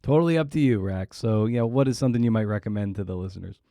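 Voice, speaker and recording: A man with a fairly low, very mellow voice, recorded close and dry. He hosts a casual conversation, feeling his way through questions in a halting manner. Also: gravelly, no room sound. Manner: halting, searching for words. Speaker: podcast host.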